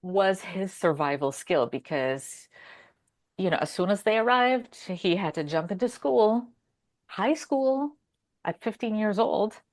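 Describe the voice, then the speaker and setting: A woman from Brazil in a casual podcast conversation, telling stories in an animated way and acting out quotes. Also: mid-range voice, unhurried. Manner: animated storyteller who acts out quotes.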